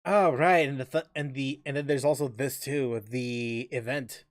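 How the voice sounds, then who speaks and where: A man hosting a casual gaming livestream, chatting in a halting way and often repeating and restarting his phrases. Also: mid-range voice, fairly even intonation. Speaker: livestream host, gamer.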